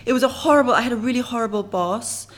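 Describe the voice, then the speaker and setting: A woman who was born in Iran and grew up in London, speaking with a London accent, tells her story as an actress guest in a casual podcast interview, chatty and quick to laugh. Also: a little high. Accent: British, London.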